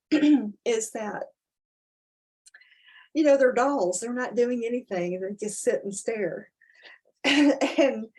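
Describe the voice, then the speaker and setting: A woman with a slightly husky voice, reading a children's story expressively and chatting informally about it. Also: a little high, warm tone. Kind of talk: dramatic storybook reading with casual explanatory asides.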